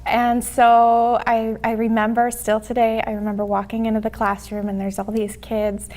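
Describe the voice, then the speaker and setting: A Mexican-born woman with an American accent and Spanish as her first language, telling her story in a halting, reflective way as an interview guest. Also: on the high side, monotone, a little mellow. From born in Mexico City, raised in the United States. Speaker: interview guest, TV show host.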